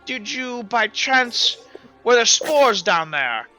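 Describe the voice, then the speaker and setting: A man's voice: very high voice, big pitch swings, takes it slowly, sharp tone, soft background music, voice pushed up, heavily acted. A man voicing a haughty, alarmed plague doctor in a tabletop game, questioning in a clipped, incredulous way. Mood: curious, probing.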